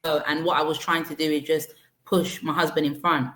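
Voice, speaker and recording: A woman business owner answering interview questions frankly, in long steady runs with restarts. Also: low-pitched, brisk, a little hiss or hum. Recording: roomy echo.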